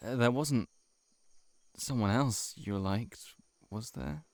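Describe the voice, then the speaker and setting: Man acting as slow-speaking, pleading lovelorn shapeshifter. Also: mid-range voice, creaky, a little mellow, no room sound. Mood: defensive, hurt. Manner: hesitant, halting, emotionally pleading. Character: lovelorn shapeshifting monster posing as a friend, earnest and pleading. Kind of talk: intimate audio roleplay acting.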